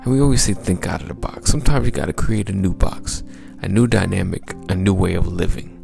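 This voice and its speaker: A man hosting a motivational podcast as a mindset coach, with a smooth, gravelly low voice and upbeat, repetitive exhortations. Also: a little fast, over music.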